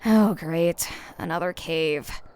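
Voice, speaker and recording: A woman's voice: fairly low pitch, slow, darkish tone, smooth. A woman playing a wary, exasperated young explorer who mutters wryly to herself in an audio drama. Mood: weary, sarcastic. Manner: muttering, wry. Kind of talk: dramatized fan fiction podcast.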